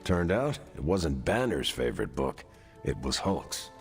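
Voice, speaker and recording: A man playing an affable, plain-spoken superhero teammate with a deadpan manner in video game cutscene dialogue. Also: rumbling low, measured pace, faint music behind, full character voice. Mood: wry.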